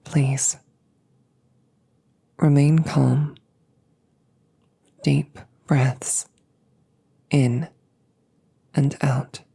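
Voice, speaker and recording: Woman voicing a very low, breathy, slow female android. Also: little pitch movement, full character voice. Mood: soothing. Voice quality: soft, breathy, often near a whisper. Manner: slow, measured, soothing, formal phrasing. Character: calm, protective female combat android, wounded but reassuring. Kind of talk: intimate roleplay audio drama, sleep aid.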